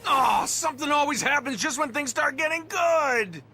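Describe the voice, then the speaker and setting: An actor playing a wisecracking, cocky young adventurer in a video game, sardonic and teasing. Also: male voice, very high-pitched, expressive, moderate pace, background noise. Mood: excited, brash. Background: game music.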